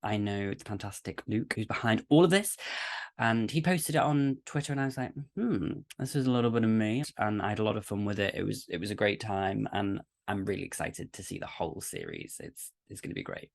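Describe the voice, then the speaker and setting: A woman's voice: extremely low pitch, expressive, a little fast, gravelly, no room sound. A woman chatting casually in a gushing, slangy way as an amateur cast member. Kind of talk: casual chat.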